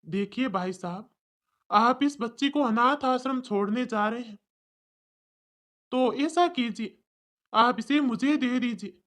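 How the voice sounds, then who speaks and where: A woman plays a kind, gentle man in a cartoon tale. He speaks with earnest pleading, in a voice dropped well below the narrator's. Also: fairly high pitch, slow, long pauses, voice pushed down. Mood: hopeful, pleading. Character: kind, gentle man longing to adopt a baby daughter. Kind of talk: cartoon story dialogue.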